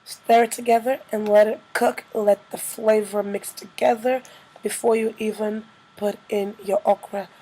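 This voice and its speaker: A woman with a slightly hoarse, congested-by-a-cold voice, narrating a casual cooking tutorial as a vlogger. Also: mid-range voice, flat delivery, measured pace, dark tone, poor audio.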